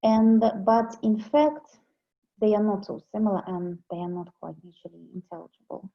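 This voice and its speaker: A woman's voice: mid-range voice, fairly even intonation, normal speed. A woman with a Ukrainian accent, a native Russian speaker, giving an academic conference talk and answering questions, carefully and with some restarts. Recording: muffled online call audio.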